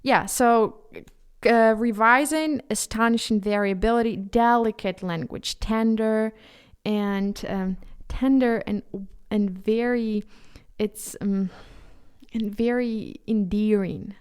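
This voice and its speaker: Slow, smooth-voiced Ukrainian woman host. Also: on the high side, steady tone. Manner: halting, earnest, thinks aloud with restarts. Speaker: podcast host. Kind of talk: solo podcast monologue.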